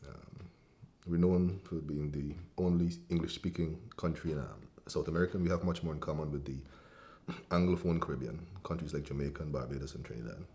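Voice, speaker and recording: A man's voice: a bass voice, fairly quick, gravelly, mellow. A man in his forties with a Guyanese accent, answering interview questions as a writer in a hesitant, thoughtful way, full of ums, restarts and coughs, seated in an indoor room with a fireplace. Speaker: writer and cultural policy advisor.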